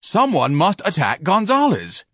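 A man's voice: sits high, huge pitch swings, a little slow, a bit bright, dry recording, studio quality, pitched above the performer's own voice, heavily acted. A man voicing a pompous, self-righteous agitator in an audiobook, declaiming grandly and indignantly. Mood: imperious. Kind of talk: audiobook character acting.

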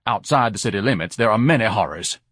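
A man's voice: on the low side, lively intonation, fast, fairly bright tone, husky, no echo, studio quality. A man voicing a pompous, self-pitying, overeducated fat man who speaks in grand, ornate phrases and is easily outraged, in an audiobook. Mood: haughty lecturing.

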